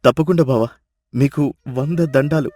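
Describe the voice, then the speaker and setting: A man's voice: mid-range voice, moderate pace, mellow, natural voice. A man playing a humble, poor village husband in a dubbed moral-story cartoon, speaking meekly and deferentially. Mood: humble, thankful. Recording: music behind the voice.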